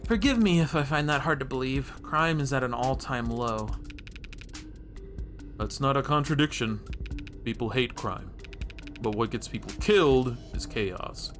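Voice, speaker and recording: A man's voice: medium pitch, a little slow, pauses often, a bit bright, soft background music, lightly acted. A man voicing a blunt, world-weary streetwise cop in a noir game, with a matter-of-fact, wry delivery. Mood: grim, cynical. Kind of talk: reading noir game dialogue aloud.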